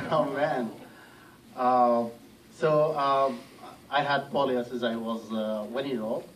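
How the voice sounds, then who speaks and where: A man's voice: medium pitch, steady tone, slow pace. Hesitant man from Iraq, off-mic. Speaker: audience member asking a question. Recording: distant mic with faint noise.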